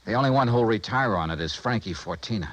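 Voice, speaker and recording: An actor playing a tough, blunt insurance investigator with an American accent, brisk and forceful, in a 1950s radio noir drama. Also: male voice, on the low side, expressive. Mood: blunt, urgent. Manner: brisk, forceful, plain-spoken. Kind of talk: 1950s radio noir acting, hard-boiled.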